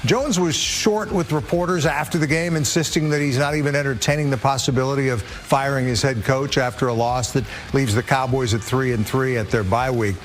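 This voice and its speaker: A man's voice: medium pitch, fairly quick, hardly any pauses, slight background noise. A man hosting a TV sports show, delivering scripted commentary in a punchy, conversational anchor style. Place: TV studio.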